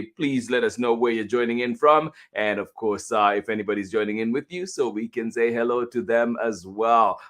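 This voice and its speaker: Man, lowish pitch, children's story time host, live show delivery, effusive, unhurried, halting phrases. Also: fairly bright tone. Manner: effusive, repeatedly thanking and greeting listeners. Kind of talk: live show hosting, greeting viewers.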